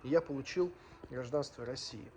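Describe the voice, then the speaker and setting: A man's voice: medium pitch, moderate pace, dark tone. Earnest man over background noise. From Ukraine and Russia. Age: 30s. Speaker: vlogger. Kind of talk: personal storytelling to camera.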